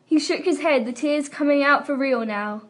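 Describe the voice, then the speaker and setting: A woman's voice: high voice, normal speed. A young woman giving an amateur dramatic reading of fan fiction, with a giggly manner. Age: young adult. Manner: giggly, breaking into laughter while reading. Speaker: amateur reader. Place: room at a school.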